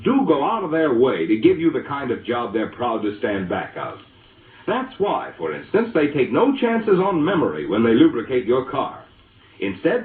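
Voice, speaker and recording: A tinny, echoing vintage broadcast of an American man selling as a 1940s radio announcer. He is polished and emphatic, with a medium-pitched voice that rises and falls vividly. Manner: emphatic, polished salesman's address. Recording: vintage radio broadcast, narrow-band, boxy echo.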